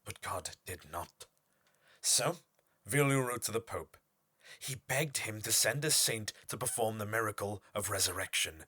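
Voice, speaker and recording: An actor playing a pompous, obsessive typeface designer, delivering a formal, theatrical monologue for an audio horror drama. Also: male voice, lowish voice, animated, a little slow, bright, forceful tone, breathy edge, exaggerated voice. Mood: grave storytelling.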